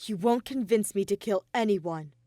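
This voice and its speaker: A woman's voice: medium pitch, moderate pace, mellow, no echo, clean studio sound, lightly acted. A woman voicing an earnest, anxious young male detective in an audio story, wary and easily flustered. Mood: firm. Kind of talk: fan fiction audio story character voice.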